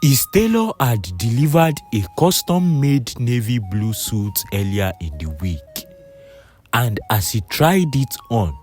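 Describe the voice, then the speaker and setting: Male narrator for folktale storybook. Low voice, slow pace, light music underneath. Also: darkish tone.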